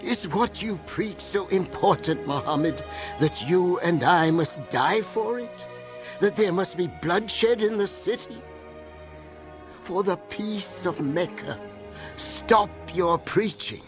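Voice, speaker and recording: An actor playing an old man, a protective uncle and clan elder, speaking earnestly in an animated film. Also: sits high, slow pace. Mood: pleading. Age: older. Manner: earnest, pleading, steadfast. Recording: animated film with music behind.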